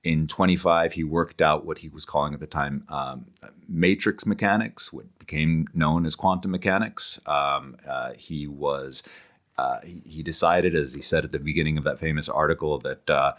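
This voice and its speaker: A man speaking as an interview guest, explaining ideas conversationally in a halting, searching, scholarly way with frequent self-corrections. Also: rumbling low, a bit bright. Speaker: guest author.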